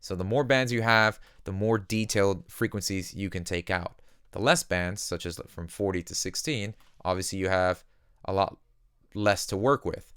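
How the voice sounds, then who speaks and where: Low, clear-voiced man teaching music production. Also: moderate pace, a bit bright, dry recording, studio quality. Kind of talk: conversational software tutorial.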